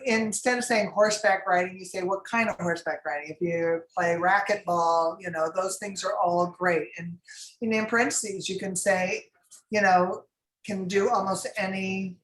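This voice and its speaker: A woman's voice: lowish voice, steady tone, unhurried, reverberant, low-quality recording. A woman casting director advising actors in a class, chatty and rambling with many fillers.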